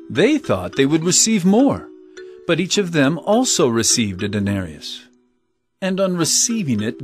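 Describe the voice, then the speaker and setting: A man playing an authoritative teacher in a Bible audio drama, speaking in a measured, solemn, instructive way. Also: a little high. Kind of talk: audio drama scripture storytelling.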